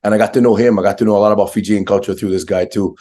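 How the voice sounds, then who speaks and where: A man with a slightly hoarse voice hosting a casual, brotherly interview in informal slang. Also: fairly low pitch, steady tone, rapid-fire, mellow. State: hoarse, recovering from a viral infection. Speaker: podcast host.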